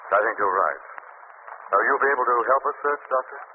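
An actor playing a calm, practical male hero, speaking in an assured way, in 1940s radio acting. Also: medium pitch, fast, natural voice. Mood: steady. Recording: noisy, muffled old radio recording.

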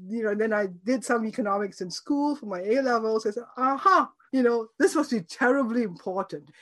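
A woman's voice: a little high, a little fast. A woman in her seventies from Singapore, talking thoughtfully as an interview guest, with fillers and small laughs. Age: 70s. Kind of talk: conversational interview.

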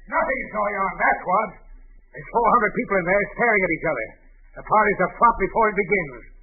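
An actor playing an irate, blustering boss in a 1940s radio comedy, indignant and easily flustered. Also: male voice, high-pitched, brisk, phone-quality sound, lightly acted. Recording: old radio recording with audience noise.

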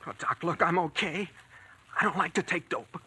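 An actor playing a nervous, pleading young factory worker in an old radio drama. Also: male voice, on the high side, normal speed, pushed and bright. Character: jittery, desperate young male factory worker. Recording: very noisy vintage radio recording.

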